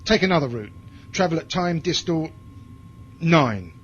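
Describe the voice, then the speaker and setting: An actor playing a hard, bitter military commander in a science fiction audio drama, with a curt, commanding way of talking. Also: male voice, a little high, lively intonation, measured pace, fairly bright tone, slightly rough, heavily acted. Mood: commanding, grim. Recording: dull lo-fi audio drama recording, music behind.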